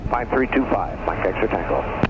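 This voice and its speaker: A man's voice: sits low, lively intonation, moderate pace. Male pilot on a very noisy radio. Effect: aviation radio. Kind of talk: pilot radio calls to tower. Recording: muffled, very noisy cockpit radio.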